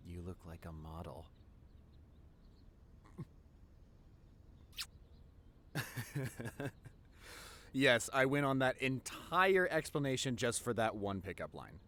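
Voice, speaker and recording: Casting: male actor as devoted nerdy young boyfriend for audio roleplay; mid-range voice, lively intonation, earnest and teasing. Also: mid-range voice. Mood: teasing, affectionate.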